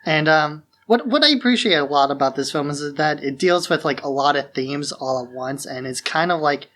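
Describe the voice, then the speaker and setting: Male podcast co-host with a slightly high voice, casual, thoughtful film analysis. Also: animated, normal speed, fairly bright tone.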